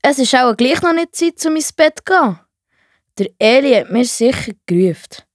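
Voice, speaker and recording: A woman's voice: sits high, lively intonation, normal speed, no room sound, studio quality. A woman playing an eager, obedient young boy in a children's Bible audio play. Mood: puzzled, eager.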